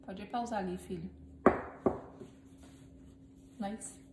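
A woman's voice: mid-range voice, steady tone, takes it slowly, dark tone. A woman in her thirties demonstrating a recipe in her home kitchen, chatting playfully with viewers and her helper as she works. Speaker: home cooking vlogger. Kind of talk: casual cooking demonstration. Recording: echoey kitchen with clear background noise.

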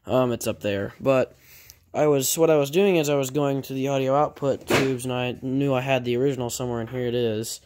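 A man talking casually off the cuff like a hobbyist repairer showing his work, halting and correcting himself as he thinks aloud. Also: medium pitch, fairly even intonation, background noise. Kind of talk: casual off-the-cuff workshop vlog. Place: indoor workshop at a cluttered bench.

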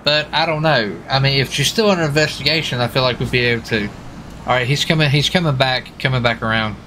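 Man, gamer roleplay chat, bright voice, mid pitch, informal and folksy, faint background noise. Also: brisk. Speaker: gamer roleplaying a US marshal on stakeout. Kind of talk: casual in-game roleplay chat.

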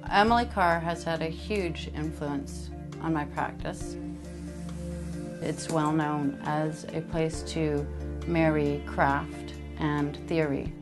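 A woman's voice: low voice, steady tone, slow pace. A woman from Vancouver, an art student talking thoughtfully and with measure in an interview testimonial. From Vancouver, Canada. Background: music.

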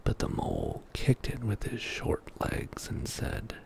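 A middle-aged man reading a bedtime story as ASMR in a soft, breathy whisper, hushed and soothing, close to the microphone in a small indoor studio. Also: medium pitch, wildly swooping pitch, a little hiss or hum. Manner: slow, hushed, soothing narration.

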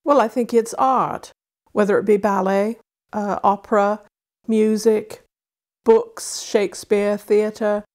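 Casting: female author, mid-Atlantic accent, medium-pitched voice. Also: fairly even intonation, slow, a little mellow. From Wales and Arizona. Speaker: author reading and discussing own novel.

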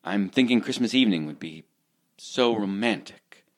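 A man's voice: lowish voice, expressive, normal speed, lightly acted. A man playing a smug, folksy small-town romantic hero with a patronizing, saccharine manner in a parody radio play. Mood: cheerful, smarmy.

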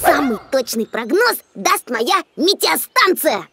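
A boisterous cartoon bear cub boy, squeaky high and exclamatory. The melody leaps up and down with self-assured gusto, and music plays behind the voice. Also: sing-song, slow, sharp tone, exaggerated voice. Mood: cocky, dismissive. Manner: emphatic, exclamatory. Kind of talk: children's cartoon acting.

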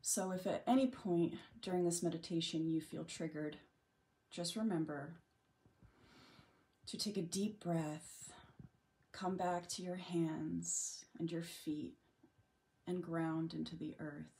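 A woman leading a guided meditation in a gentle, unhurried manner with long pauses. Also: lowish voice, fairly even intonation. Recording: music bed under the voice. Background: background music.

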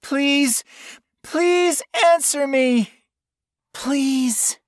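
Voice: high-pitched, very slow, no echo, clean studio sound, pitched above the performer's own voice. A narrator voicing a frightened young woman in an audiobook story, whimpering and pleading with a trembling voice. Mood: tearful, pleading.

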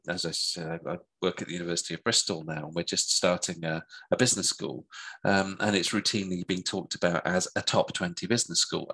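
A man's voice: low voice, sharp tone, husky, poor audio. A middle-aged man speaking over a headset on a video call, answering thoughtfully with many restarts and fillers. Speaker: guest speaker. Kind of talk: online seminar discussion. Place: home room.

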